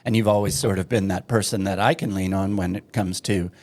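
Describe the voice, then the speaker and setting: A man's voice: deep voice, steady tone, fairly quick, darkish tone. A man hosting a podcast, asking upbeat questions and cracking jokes with his guest. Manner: friendly, joking, upbeat questions. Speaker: podcast host. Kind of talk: casual podcast interview.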